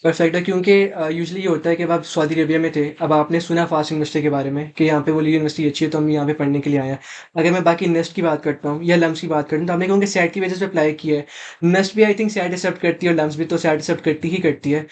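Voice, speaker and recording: A man's voice: on the high side, fairly even intonation, quick pace, a little mellow. A young man with a Pakistani accent hosting a casual interview, asking inquisitive questions and mixing in English. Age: young adult. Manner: code-switches into English, inquisitive. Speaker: interview host.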